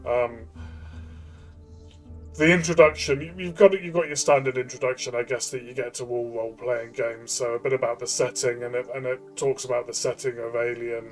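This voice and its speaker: A man's voice: mid-range voice, little pitch movement, moderate pace, soft background music. A man co-hosting a role-playing game review, explaining things in a casual conversational style.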